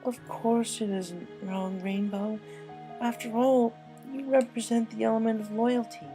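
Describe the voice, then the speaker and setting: Woman doing a smart supportive young girl pony in a story, higher voice, earnest and reassuring. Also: fairly even intonation, a little slow, dark tone, soft background music. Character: thoughtful, supportive young female pony friend.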